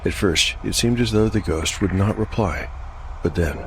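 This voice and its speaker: A man giving measured, dramatic, literary narration of a scripted dark fantasy tale. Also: deep voice, slight background noise, rough recording. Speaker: narrator and host. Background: music.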